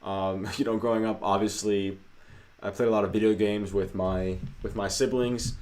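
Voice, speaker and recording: A man's voice: lowish voice, steady tone, normal speed, a little mellow. A man co-hosting a casual livestream, talking through viewer questions in a loose, conversational way. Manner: conversational, many fillers, hedging asides. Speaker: co-host.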